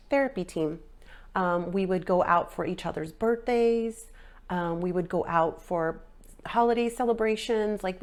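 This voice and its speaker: Gravelly, slightly nasal woman vlogger. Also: mid-range voice. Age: 40s. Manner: rambling, filler-heavy, frequent ums and likes. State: a little nasal after a sinus infection. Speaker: fitness vlogger. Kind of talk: casual personal update chat.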